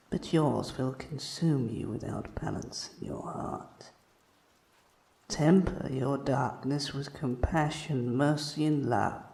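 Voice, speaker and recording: A man's voice: medium pitch, creaky. A man playing a stern, foreboding mystic, portentous in a fantasy monologue. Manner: slow, portentous. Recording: echoey room.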